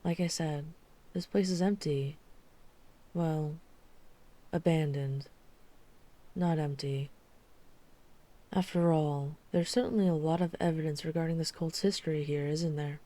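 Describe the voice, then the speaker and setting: A woman playing a guarded, weary vampire hunter who is herself a vampire, speaking in a measured, serious way in an ASMR roleplay. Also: low voice, fairly even intonation, warm tone. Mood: somber. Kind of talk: ASMR roleplay audio drama acting.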